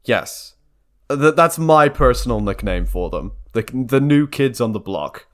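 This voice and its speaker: Young male video creator, medium pitch, informal and sincere, loose rambling vlog delivery. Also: lively intonation, measured pace. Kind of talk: casual vlog talk to camera or mic.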